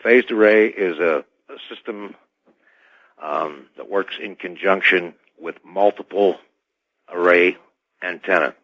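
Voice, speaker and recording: A man speaking as an expert radio guest, giving halting technical explanations over a dull phone-in line. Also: deep voice, dry recording. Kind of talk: technical explanation in live interview.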